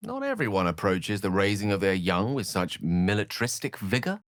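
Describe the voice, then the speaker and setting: A man's voice: lowish voice, sing-song, moderate pace, fairly bright tone, dry recording, studio quality. A man playing an erudite, witty wizard in a fantasy video game, speaking with eloquent, wry and courteous theatricality. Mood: wry, amused.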